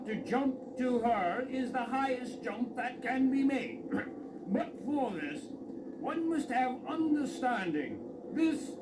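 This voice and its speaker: Here is a stately, easily affronted storybook king. The voice is strikingly high and slow, with imperious pronouncements. Loud noise from an old record covers it. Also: full character voice. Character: indignant, stately fairy-tale king.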